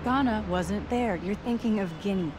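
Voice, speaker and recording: A woman's voice: medium pitch, normal speed, noisy background, close to an everyday voice. A young American woman playing a hurt, stubborn avenger in a game cutscene, speaking in a blunt, intense way. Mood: teasing, subdued. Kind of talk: video game cutscene acting. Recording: music behind the voice.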